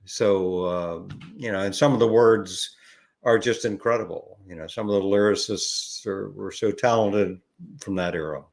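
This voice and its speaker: Low-voiced older man, halting chat. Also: fairly even intonation, creaky. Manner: rambling, halting with many fillers and restarts. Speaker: podcast guest, ukulele educator. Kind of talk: relaxed conversational interview.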